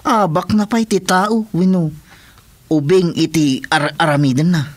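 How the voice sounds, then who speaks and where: A man's voice: fairly high pitch, a little slow, dry recording. A man playing a grumbling, put-upon village husband in an Ilocano comedy radio drama, with an Ilocano accent.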